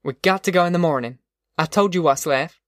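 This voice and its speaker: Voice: mid-range voice, brisk, a bit bright, no room sound, studio quality, voice pushed up, close to an everyday voice. A narrator voicing a tough, determined middle-aged farm mother in an audiobook, with blunt, folksy rustic speech. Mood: firm, demanding. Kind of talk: audiobook character voice.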